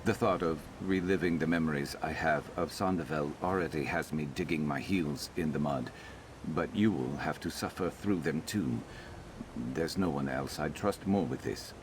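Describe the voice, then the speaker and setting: Very deep, gravelly lizard-man warrior. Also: normal speed, noisy background. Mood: earnest, hesitant. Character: weary, guarded male Argonian lizard-folk warrior, former slave. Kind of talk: video game character dialogue.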